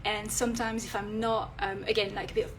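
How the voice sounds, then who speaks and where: A woman's voice: medium pitch, little pitch movement, fairly quick. Woman with a French accent for a casual live vlog, hesitant and unpolished, slight background noise. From France. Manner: hesitant, many fillers and restarts. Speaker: illustrator and vlogger. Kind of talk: live video chat. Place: indoors at home, near a street.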